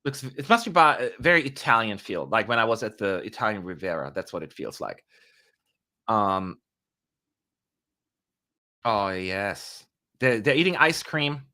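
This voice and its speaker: A young man talks through a live stream in a loose, joking way, tossing off quick asides to his viewers. His voice is bright and his pitch swings widely as he chats. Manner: chatty, animated, quick asides and playful jokes. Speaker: livestream host. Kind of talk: casual live commentary.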